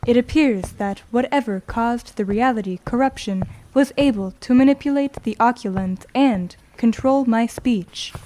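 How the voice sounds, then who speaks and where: Woman acting slow, polite AI guide. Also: on the high side, dark tone, a little hiss or hum, natural voice, rough recording. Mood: formal, apologetic. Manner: measured, formal, courteous. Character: polite, formal female AI guide system. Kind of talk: audio drama announcer, scripted.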